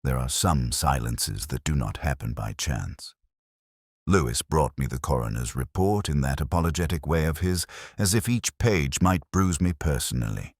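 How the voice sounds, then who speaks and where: A man narrating a scripted mystery as a reflective, sceptical police detective, in a measured, formal, introspective manner. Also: extremely low pitch, expressive, dry recording, studio quality. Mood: brooding, reflective. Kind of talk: scripted first-person mystery narration, literary.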